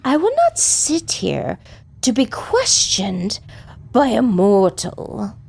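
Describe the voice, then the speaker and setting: A woman playing a haughty, irritable monstrous snake woman, with a hissing, creaky, imperious drawl. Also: on the high side, wildly swooping pitch, slow, darkish tone, full character voice. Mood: haughty, angry.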